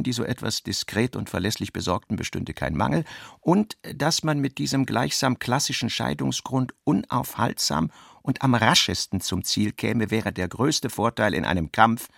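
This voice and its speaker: Creaky-voiced male literary narrator, close and dry. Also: fairly low pitch, fairly bright tone, no echo. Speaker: narrator of a radio drama. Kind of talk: literary scripted narration, voicing reported dialogue.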